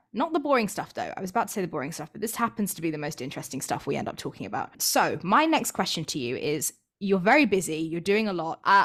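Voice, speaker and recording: A woman hosting an informal podcast interview, asking questions in a chatty, informal way. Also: fairly low pitch.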